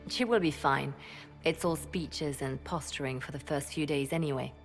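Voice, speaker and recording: An actress playing a composed, devoted female spymaster and adviser in a fantasy game, calm, deliberate and formal. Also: sits low, faint music behind. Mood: calm, wry. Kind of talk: dramatic fantasy game acting.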